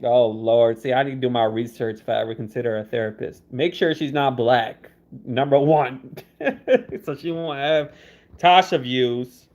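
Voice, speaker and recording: A man's voice: medium pitch, normal speed, darkish tone. A young man on a casual livestream, laid-back and teasing, laughing as he answers viewer comments. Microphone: phone. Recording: dull lo-fi phone audio.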